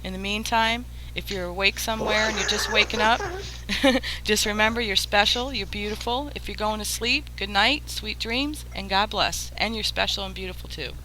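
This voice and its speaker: A woman's voice: medium pitch, moderate pace, background noise. An American woman hosting an online radio theater show, chatting casually and giving effusive thanks, repeating words for emphasis. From Massachusetts, USA. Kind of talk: casual group chat and thank-you sign-off.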